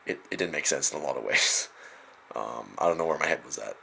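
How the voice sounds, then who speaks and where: A young man with a low, somewhat raspy voice, worn down by a cold. He talks off the cuff about himself, rambling and poking fun at himself. Plenty of ums and uhs along the way. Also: expressive, a little fast, sharp tone, slight background noise. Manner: rambling, filler-heavy, self-mocking. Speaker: video creator. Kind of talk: unscripted vlog.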